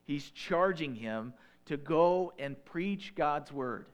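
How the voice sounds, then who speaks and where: Man, pastor, Northern Illinois accent, slightly high voice, chatty sermon. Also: expressive, slow pace. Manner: conversational, folksy asides.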